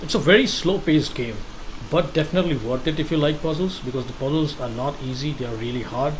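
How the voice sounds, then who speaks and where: A man giving casual gameplay commentary, thinking aloud with halting restarts. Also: a little high, slight background noise, rough recording.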